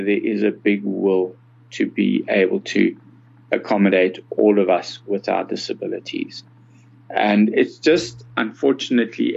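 Older south african guy in his 60s, gravelly voice, explaining stuff in an interview, kinda muffled recording. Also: on the low side. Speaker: interview guest, accessibility consultant. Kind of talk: conversational interview answers.

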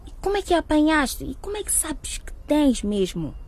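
A woman's voice: sits high, big pitch swings, normal speed, darkish tone. A woman playing a naive, anxious teenage schoolgirl in a radio drama. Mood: shocked, worried.

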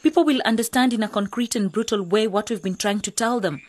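A woman's voice: medium pitch, fast, darkish tone, natural voice. A woman playing a strong-willed, wary young village woman in a radio drama. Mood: serious.

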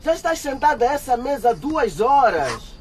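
A young actor playing a possessive, controlling boyfriend in a radio drama, wheedling and bossy. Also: male voice, very high voice, sing-song, normal speed, slight background noise. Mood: coaxing. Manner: coaxing then domineering.

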